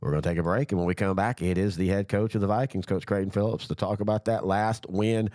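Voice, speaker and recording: Stuffy-nosed sports host, dry sound. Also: male voice, fairly low pitch, fairly even intonation, quick pace, a little mellow, no echo. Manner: rambling, self-correcting, enthusiastic. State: congested head cold. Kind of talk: casual sports talk. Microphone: studio mic.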